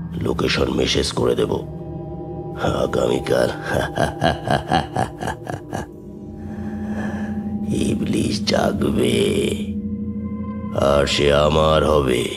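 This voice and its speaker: Extremely deep, sinister villain boss. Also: male voice, gravelly, exaggerated voice. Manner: commanding, sinister, drawn-out delivery. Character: menacing occult villain boss giving curt orders.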